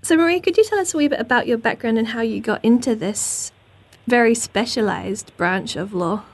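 A woman's voice: fairly high pitch, normal speed. New woman hosting a radio show. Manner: friendly, plain questions with light humour. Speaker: radio host.

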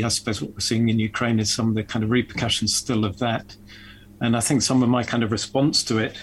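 A man's voice: mid-range voice, slight background noise, poor audio. A man speaking as an associate professor on a discussion panel, reflective and a little hesitant.

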